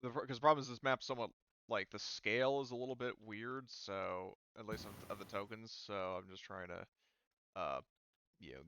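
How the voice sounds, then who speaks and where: A man acting as game master for an online tabletop roleplaying session, talking haltingly and often muttering to himself as he sets things up. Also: low-pitched, vocal fry, bright, forceful tone, clear, resonant tone, dry recording, highs cut off.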